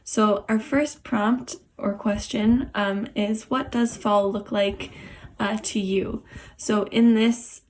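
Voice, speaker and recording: A young woman with a raspy, hoarse voice and a cough, guiding an online art therapy lesson at home in a relaxed way with many pauses. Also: mid-range voice. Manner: relaxed, many ums, gentle instructions.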